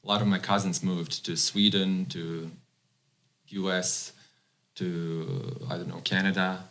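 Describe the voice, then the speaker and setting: Deep-voiced man from Bosnia, halting. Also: little pitch movement, fairly bright tone. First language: Croatian. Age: 30s. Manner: halting, many fillers and restarts. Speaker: podcast guest. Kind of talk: casual interview chat.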